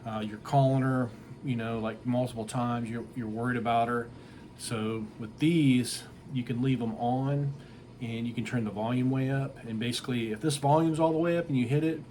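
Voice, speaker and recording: A man's voice: mid-range voice, darkish tone, a little hiss or hum. A middle-aged man giving a casual, rambling, plainspoken product review. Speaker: tree service business owner reviewing a product.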